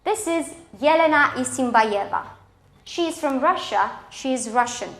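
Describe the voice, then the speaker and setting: A woman's voice: sits high, animated, normal speed. A woman with a Romanian accent presenting a scripted English lesson for schoolchildren, enunciating each example in a didactic way. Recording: echoey, roomy sound.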